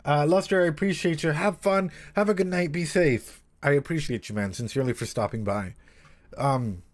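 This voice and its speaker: A man's voice: fairly high pitch, moderate pace. Rambling, slangy male livestreamer. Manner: rambling, repeats phrases, slangy and sweary. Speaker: livestream host and practising therapist. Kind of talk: casual livestream reaction with read-aloud passages and analysis.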